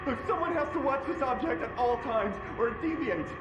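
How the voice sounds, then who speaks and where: A panicked agent who begs for help in a very high, strained voice. His pleas ring out in an echoey room, over loud background noise. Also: animated, a little fast. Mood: anxious pleading. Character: frightened male agent staring at an object.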